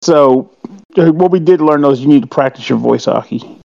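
A man's voice: fairly high pitch, fairly quick, gravelly, mellow, no echo, dull audio. A man in his forties chatting casually and joking, swearing now and then. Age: 40s. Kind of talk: casual chat.

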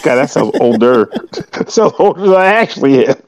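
A man's voice: medium pitch, big pitch swings, normal speed, darkish tone, dry recording. A man in his forties chatting casually and joking, swearing now and then. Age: 40s. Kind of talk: casual chat.

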